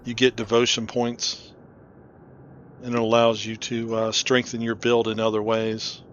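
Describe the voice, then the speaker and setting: A man's voice: medium pitch, completely flat delivery, a little slow, fairly bright tone, muffled recording, a little hiss or hum. A man hosting a playthrough, giving casual, informal commentary on his game. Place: voice-over on game footage, music faintly behind.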